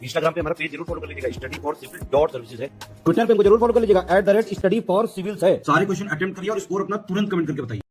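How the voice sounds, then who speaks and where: A man reading a brisk promotional announcement as a channel announcer, instructing listeners what to do. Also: high-pitched, lively intonation, music in the background.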